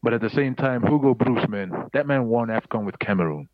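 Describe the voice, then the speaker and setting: A man in casual football chat, loud in his excitement and heavy on swearing and repetition. His voice sits at an ordinary pitch. The recording is muffled and lo-fi with a dry, close sound. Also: fairly quick, dry recording. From South Africa. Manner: excitable, profane, emphatic. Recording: dry, dull lo-fi audio.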